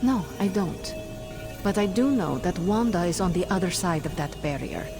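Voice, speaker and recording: A woman's voice: on the low side, lively intonation, normal speed. A woman playing a stern, protective warrior in a video game cutscene, speaking bluntly and formally. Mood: candid. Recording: loud game music behind.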